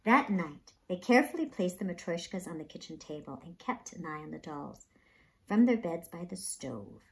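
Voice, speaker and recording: A woman reading a children's story aloud with expressive, dramatic, emphatic narration. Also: on the low side, normal speed, vocal fry.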